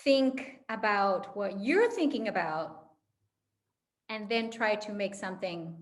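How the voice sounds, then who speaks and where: A woman's voice: mid-range voice, expressive, slow. A woman moderating a panel conversationally, encouraging speakers and summing up what they say.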